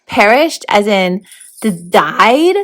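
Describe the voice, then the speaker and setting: A woman's voice: mid-range voice, very animated, takes it slowly, fairly bright tone, heavily acted. A woman voicing a plucky, wry young schoolgirl heroine with a casual, questioning manner in a storybook read-aloud. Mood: worried.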